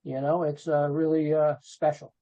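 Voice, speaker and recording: A man's voice: on the high side, monotone, normal speed, mellow. A middle-aged man reminiscing casually as an interview guest, informal and peppering his talk with "you know". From New Jersey, USA. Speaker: podcast guest, rock photographer. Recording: dull lo-fi call audio.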